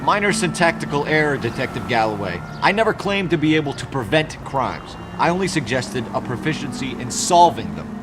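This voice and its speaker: An actor playing an earnest, self-assured by-the-book police detective in a crime drama game, speaking formally and precisely. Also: male voice, a little high, sing-song, brisk, few pauses, background noise. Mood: earnest, righteous.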